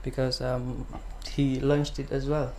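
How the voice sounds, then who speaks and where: A young man from the Gambia answers questions in a low-key, hesitant way, pausing often to gather his thoughts. His pitch stays flat and even and rarely rises. A faint ambient hum sits behind his voice. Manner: soft-spoken, hesitant with many ums. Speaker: guest singer-songwriter. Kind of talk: casual interview answers.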